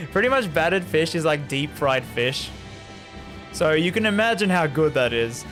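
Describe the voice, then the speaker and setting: A man's voice: a little high, animated, unhurried, a bit bright. A man giving casual, chatty game commentary on a live stream, rambling and easily amused. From Australia. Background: game music.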